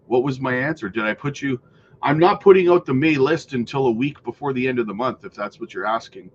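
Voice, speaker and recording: A man's voice: mid-range voice, fairly quick, dull audio, a little hiss or hum. A man hosting a casual crypto livestream, rambling through viewer comments with many fillers while losing his voice.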